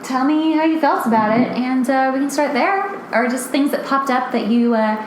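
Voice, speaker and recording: A woman hosting a casual podcast chat, bubbly and eager, quick to laugh and react to her guest. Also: high-pitched, lively intonation. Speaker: podcast host. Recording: echoey room with faint noise.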